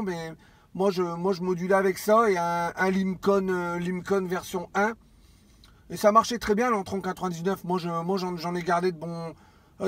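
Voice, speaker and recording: A man around forty chatting casually as a CB radio operator, rambling and repeating his phrases. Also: high voice. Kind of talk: casual radio contact chat.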